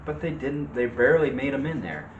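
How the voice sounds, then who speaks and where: A man co-hosting a casual movie and TV debate, disagreeing in halting, hesitant phrases. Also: mid-range voice, lively intonation, phone-quality sound. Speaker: co-host of a movie discussion show. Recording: echoey room with faint background noise.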